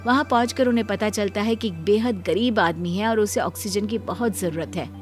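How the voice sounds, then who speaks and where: A woman narrates a scripted story in a medium-pitched voice. Soft music plays faintly underneath her storytelling. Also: fairly quick.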